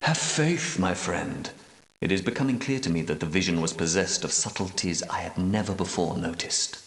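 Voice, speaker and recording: An actor playing an ancient, solemn leader of holy knights in a video game, speaking gravely and formally, with portentous weight. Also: male voice, low voice, moderate pace, a bit bright. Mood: wry, assured. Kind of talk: video game dramatic dialogue. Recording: roomy echo, distant from mic.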